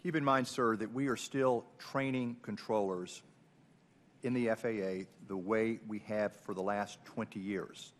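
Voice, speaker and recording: A man's voice: on the low side, steady tone, measured pace. A man giving formal testimony as a witness at a hearing. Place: large indoor hearing room. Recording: echoey hearing room.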